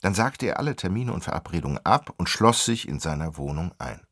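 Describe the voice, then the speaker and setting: A wry storyteller narrates a radio drama. His voice is low and gravelly, moving in animated swings of pitch. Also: fairly quick, no echo, clean studio sound, heavily acted. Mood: brisk storytelling. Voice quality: gravelly, raspy.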